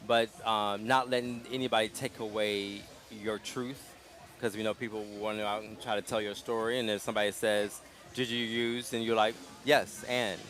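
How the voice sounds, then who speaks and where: A man hosting a casual live interview at an outdoor street festival, speaking in a calm, gentle, unhurried way. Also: lowish voice. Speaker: interview host.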